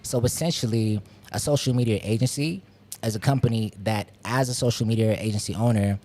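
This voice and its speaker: A South African man in his twenties, a guest explaining his agency in a relaxed way. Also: lowish voice, fairly quick. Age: 20s. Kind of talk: casual interview talk.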